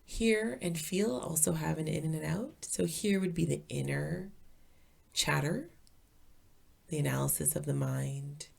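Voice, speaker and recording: A woman leading a guided meditation as a teacher, calm, soothing and deliberate, with a soft, breathy voice. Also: sits low.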